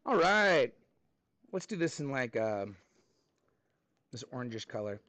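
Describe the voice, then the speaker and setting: A man teaching a middle school class, talking to students in an informal, animated and encouraging way. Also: medium pitch, unhurried, dry recording.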